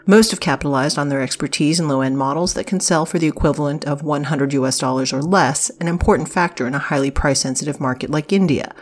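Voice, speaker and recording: A woman's voice: low-pitched, quick pace, hardly any pauses. A woman reading scripted business articles aloud for a news podcast, in a formal, informative delivery.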